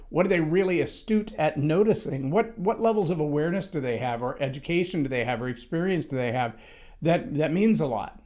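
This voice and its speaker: A man with a loud, somewhat high-pitched voice holds forth on leadership in a solo talk. He speaks emphatically and conversationally, doubling back on words as he thinks. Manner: conversational, emphatic, with frequent restarts.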